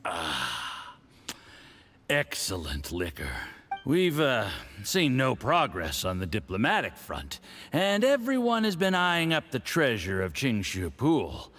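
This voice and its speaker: An actor playing a smug, scheming foreign diplomat with a patronizing, mocking manner. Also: male voice, medium pitch, huge pitch swings, takes it slowly, fairly bright tone, heavily acted.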